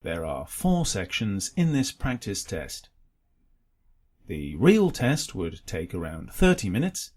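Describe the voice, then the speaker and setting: Husky formal male exam announcer, swooping intonation. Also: fairly low pitch, darkish tone. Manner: formal, instructional. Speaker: test announcer. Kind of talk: scripted exam narration.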